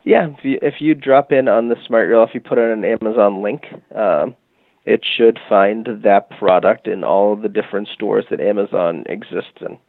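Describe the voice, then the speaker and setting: A man with a low, gravelly voice speaks over a tinny, phone-like line. He talks casually in an interview, explaining at length and often restarting with fillers. The sound is dry. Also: normal speed, dry recording. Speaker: guest from a music marketing company.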